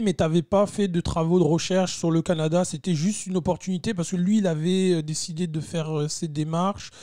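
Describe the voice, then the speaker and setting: A man's voice: a little high, warm tone, dry recording, clean studio sound. A man hosting a casual podcast interview, chatty, often restarting his questions and saying he is a bit tired. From France. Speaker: podcast host.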